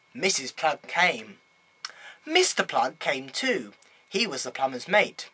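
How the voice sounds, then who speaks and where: A man reading a children's bedtime story aloud in an animated way with dramatic emphasis. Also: on the high side, breathy edge.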